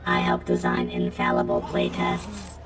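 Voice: very deep voice, huge pitch swings, unhurried, exaggerated voice. A performer voicing an obedient, faintly menacing robot with a stilted, mechanical delivery. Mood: flat, mechanical. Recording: music and loud crowd behind.

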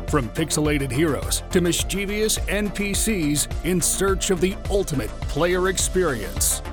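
Male announcer, fairly high pitch, scripted podcast promo, music bed.

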